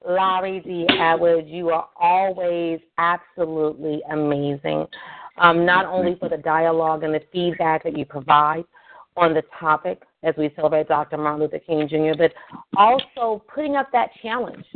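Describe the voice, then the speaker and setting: A woman hosting a call-in radio show, speaking in an earnest, affirming and measured way. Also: sits low, steady tone. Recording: tinny phone line with faint hiss.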